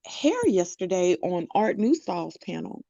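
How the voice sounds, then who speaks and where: A woman talks through a point as a guest on a livestream call, steady and a little downcast. Her voice is mellow and low in brightness. It comes through dull and lo-fi, dry, with no room echo. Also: unhurried, vocal fry. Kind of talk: casual conversation.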